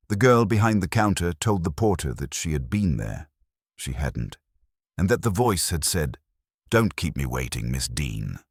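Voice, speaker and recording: A man narrating a scripted mystery story in a dry, wry, measured literary manner. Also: low-pitched, no room sound.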